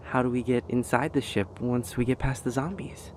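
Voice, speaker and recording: A man's voice: medium pitch, fairly even intonation, normal speed, darkish tone, lightly acted. A man voicing a nervous, polite, apologetic doctor who stammers and hesitates in a fantasy audio roleplay. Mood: uneasy, puzzled. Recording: noticeable background noise and ambience.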